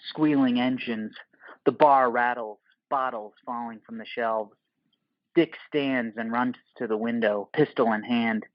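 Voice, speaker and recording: A man's voice: mid-range voice, steady tone, a little slow, no room sound, lo-fi sound. A man narrating an audio play, reading stage directions in terse screenplay phrases.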